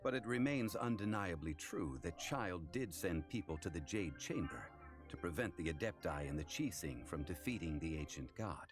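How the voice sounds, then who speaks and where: A man's voice: low voice, lively intonation, music in the background. An actor playing an ancient, scholarly male god in human form, speaking formally with dry amusement. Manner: measured, formal, dryly amused. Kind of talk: game voice acting.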